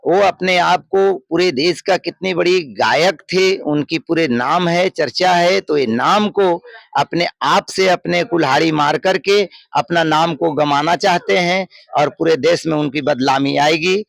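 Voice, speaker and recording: A man with a fairly high, gravelly voice. He gives his view earnestly and emphatically, circling back over the same phrases, with a dry, close sound. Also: measured pace, a bit bright, no echo. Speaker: local man interviewed. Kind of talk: street interview opinion.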